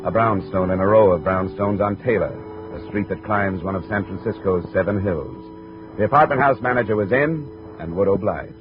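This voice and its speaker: A man's voice: sits low, normal speed, close to an everyday voice. An American man playing a dry, businesslike private investigator in a 1950s radio drama, clipped and matter-of-fact. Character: dry, businesslike male private investigator narrating his case. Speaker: lead actor and narrator. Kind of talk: 1950s radio detective acting, scripted narration. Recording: muffled, tinny old radio recording, music behind.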